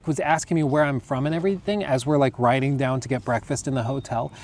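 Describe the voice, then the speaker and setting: A man's voice: mid-range voice, fast, a little mellow, a little hiss or hum. A young American man chatting casually on a podcast, rambling with lots of fillers as he reads out game lore. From United States. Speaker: podcast co-host. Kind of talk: casual chat reading lore.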